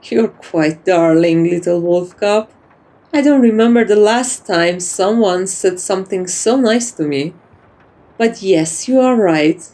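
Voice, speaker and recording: Soft, slow, mellow vampire seductress, acted by a woman. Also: mid-range voice, close to an everyday voice. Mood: teasing, flirtatious. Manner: soft-spoken, teasing, cooing, addresses listener like a pet. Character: kind, dominant, flirtatious female vampire, doting and teasing. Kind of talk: soft-spoken ASMR roleplay.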